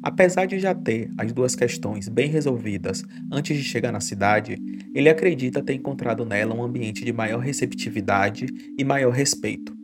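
A man reading scripted documentary narration in a measured, even way. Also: mid-range voice, background noise. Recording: narration over loud music. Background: strong music bed.

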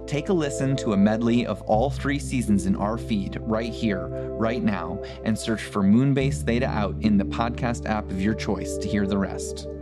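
A man's voice: fairly low pitch, moderate pace, few pauses. A man hosting a podcast, reading a scripted introduction in an earnest, emphatic, recommending manner. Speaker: podcast host. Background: plucked guitar music.

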